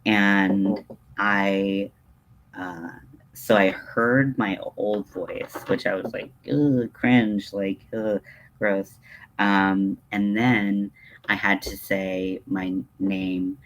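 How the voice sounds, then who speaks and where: A man with a low-pitched voice chats casually as a livestream host. He takes his time, hesitating often and drifting into tangents in a self-deprecating way. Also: level tone, extremely slow, fairly bright tone, low-quality recording. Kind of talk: casual rambling livestream chat.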